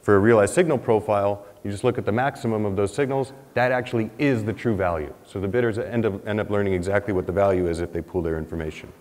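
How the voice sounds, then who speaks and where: A man in his thirties presents research at a podium, his fairly low voice ringing with the long reverb of a big lecture hall. He thinks aloud, restarting phrases and rising in pitch when he stresses a point. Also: fast talker, hardly any pauses, darkish tone. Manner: thinking aloud, many restarts and "you know"s, rising for emphasis. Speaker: economics researcher presenting a paper. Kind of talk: conference lecture with slides.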